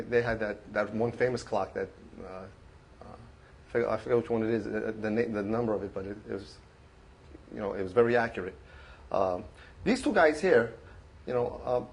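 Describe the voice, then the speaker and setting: A man's voice: mid-range voice, darkish tone. A man giving an informal show-and-tell about his hobby at a club meeting, speaking into a handheld mic, full of fillers and restarts. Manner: halting, many restarts and fillers. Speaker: club member presenting his collection. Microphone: handheld microphone.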